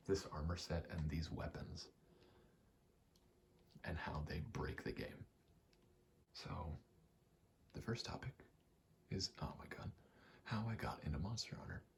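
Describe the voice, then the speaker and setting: A man in his twenties doing a casual ASMR gaming ramble, soft-spoken and often whispering, in a halting, meandering way. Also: rumbling low, vocal fry. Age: 20s. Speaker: ASMR gaming video host. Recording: faint noise, game button clicks. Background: controller clicking, faint background noise.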